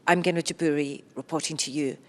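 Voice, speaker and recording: A woman narrates a scripted news report in a low register. Her delivery is formal and measured, with emphasis placed on each item as she lists it. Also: steady tone.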